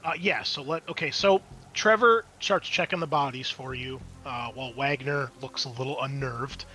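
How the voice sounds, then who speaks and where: Bright-voiced man running a horror roleplay game. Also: medium pitch, moderate pace. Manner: casual, sweary, descriptive. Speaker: game master. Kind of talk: narrating a tabletop horror game.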